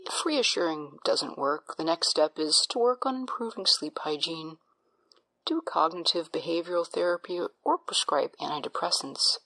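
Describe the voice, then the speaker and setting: Bright-voiced sadistic woman doctor, acted by a woman. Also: deep voice, normal speed, full character voice, low-quality recording. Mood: clinical calm. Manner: gloating, clinical, mock-soothing menace. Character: sadistic female doctor obsessed with paralysis experiments. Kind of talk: audio drama villain monologue.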